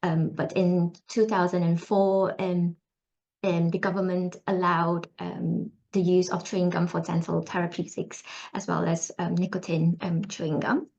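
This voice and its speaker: A woman's voice: fairly low pitch, level tone, measured pace, vocal fry, a little mellow. A Singaporean woman presents her research over a video call, her voice slightly muffled by the connection. She talks in a chatty, friendly way, with plenty of ums along the way. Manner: chatty, many ums, laughs lightly. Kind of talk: online forum presentation.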